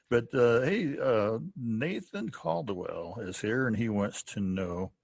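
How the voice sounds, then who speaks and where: A man co-hosting a podcast interview in a casual, halting, chatty way, often chuckling. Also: fairly low pitch, animated, gravelly, a little mellow. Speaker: podcast co-host.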